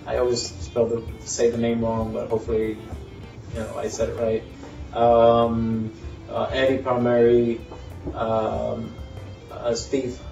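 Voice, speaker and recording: A man in his thirties from Puerto Rico, a band's singer and guitarist, introducing himself in a casual, halting way with many fillers and restarts. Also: on the low side, creaky. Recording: roomy, distant, dull sound with faint music.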